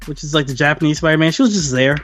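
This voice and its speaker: A man's voice: on the high side, very fast, over music. A man co-hosting a podcast, giving a casual, opinionated film review and slipping into acted-out quotes. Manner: acts out imagined quotes while talking. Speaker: podcast co-host reviewing films.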